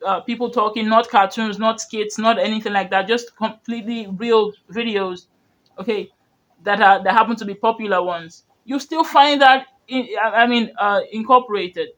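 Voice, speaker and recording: High-voiced man. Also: moderate pace, a bit bright, rough recording. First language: Yoruba. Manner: rambling, earnest, full of "you know" and restarts. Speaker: media commentator. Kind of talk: reaction commentary on video clips.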